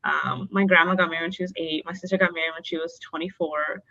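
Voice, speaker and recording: Open-spoken young woman, lower voice, muffled. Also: steady tone, quick pace, pushed and bright. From North Dakota, born in Bangladesh. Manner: open, many fillers like um and like. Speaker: podcast guest. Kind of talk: candid interview answers.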